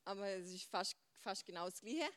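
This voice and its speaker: A woman's voice: mid-range voice, animated, a little fast, a bit bright. Young Swiss German woman, informal group speaker. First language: Swiss German dialect. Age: 20s. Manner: chatty and informal, mixing in English words.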